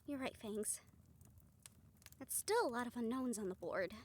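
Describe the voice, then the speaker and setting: A woman's voice: on the high side, animated, a little slow, slight background noise. A woman playing a caring, teasing and driven strategist of a supernatural team in a conversational audio roleplay. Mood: focused, resolute. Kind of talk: scripted audio roleplay acting.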